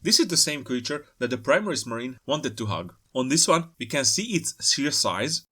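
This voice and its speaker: A man's voice: mid-range voice, very animated, moderate pace, bright, forceful tone, slightly rough. A man giving casual, playful commentary as the host of a hobby video, with jokey asides. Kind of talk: casual scripted-feel commentary on trailer pictures.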